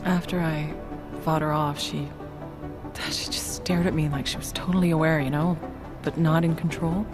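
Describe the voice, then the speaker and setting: An actress playing a wry, soft-spoken therapist in a video game, shaken but resilient. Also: female voice, low voice, steady tone, normal speed, over music, natural voice. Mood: haunted. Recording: game cutscene with loud score.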